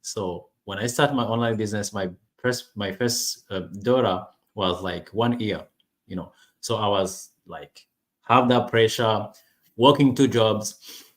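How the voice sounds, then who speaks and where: A man's voice: on the low side, measured pace. A man from Rwanda, English not his first language, talking casually to camera with many restarts and fillers. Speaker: online business vlogger. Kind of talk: casual personal talk to camera.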